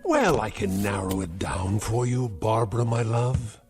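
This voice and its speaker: An actor playing a cruel, sarcastic elderly uncle who is a scientist, taunting with mocking, theatrical insults. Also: male voice, on the low side, sing-song, measured pace, darkish tone, soft background music. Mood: sneering. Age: older. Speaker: domineering uncle. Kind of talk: 1960s TV drama acting.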